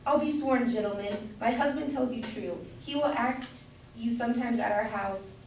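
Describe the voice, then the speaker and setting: Woman playing a gushing grocer's wife, high and echoey. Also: normal speed, a little mellow, lightly acted. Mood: chatty, gushing. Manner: gushing, insistent, repetitive. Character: eager, chatty, naive grocer's wife. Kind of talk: amateur staged reading of Jacobean comedy. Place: indoor room at a college, far from mic. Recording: distant echoey room, faint noise.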